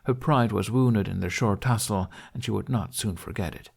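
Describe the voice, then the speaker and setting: A man's voice: lowish voice, moderate pace, gravelly, a little mellow, husky. A man reading scripted fantasy fiction as an audiobook narrator.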